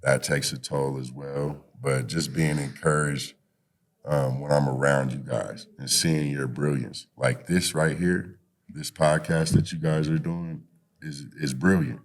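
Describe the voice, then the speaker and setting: A man's voice: rumbling low, fairly even intonation, a little slow. A man speaking as a podcast guest and youth activist in a conversational, motivational way, encouraging and often saying "you know".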